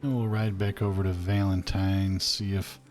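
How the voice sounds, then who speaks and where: Low-voiced folksy man, game streamer. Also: flat delivery, moderate pace, a little mellow, faint music behind. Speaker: streamer playing an old cowboy character. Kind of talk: roleplay and chatting with stream chat.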